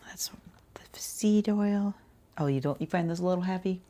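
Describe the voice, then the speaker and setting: A woman quietly playing a skincare consultant in an ASMR roleplay, chatting casually and reassuringly in a soft, breathy voice that often slips toward a whisper. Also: lowish voice, moderate pace. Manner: casual, rambling, reassuring the client.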